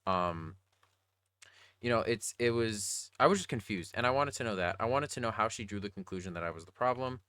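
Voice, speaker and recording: A young adult man with a slightly raspy voice, talking casually and earnestly in a rambling, slangy video diary. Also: lowish voice, lively intonation, fairly quick, bright, forceful tone, no echo. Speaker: vlogger.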